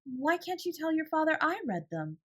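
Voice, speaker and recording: A woman voicing a bright, earnest, imaginative schoolgirl in a storybook, with emphatic, old-fashioned phrasing. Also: high-pitched, big pitch swings, normal speed, voice pushed up. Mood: reflective, earnest.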